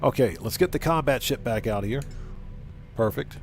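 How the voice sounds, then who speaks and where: A man's voice: mid-range voice, animated, moderate pace, slight background noise. A man hosting a casual gaming livestream, thinking aloud, repeating phrases and chatting with viewers. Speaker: livestream host. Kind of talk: casual gaming livestream commentary, greeting chat. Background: music.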